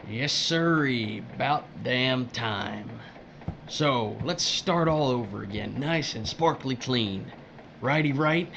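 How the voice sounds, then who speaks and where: A man's voice: medium pitch, slow pace, gravelly, muffled recording, background noise. A man playing a smug, folksy, bullying foreman in an audio drama, with a self-satisfied, needling delivery.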